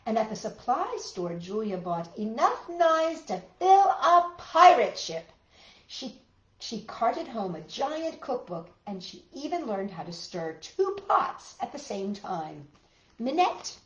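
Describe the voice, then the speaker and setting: A woman's voice: fairly high pitch, sing-song, slow, fairly bright tone, highs cut off. A woman reading a picture book aloud to children with dramatic emphasis and chatty asides to her listeners. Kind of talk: expressive children's read-aloud. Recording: roomy, echoey room sound.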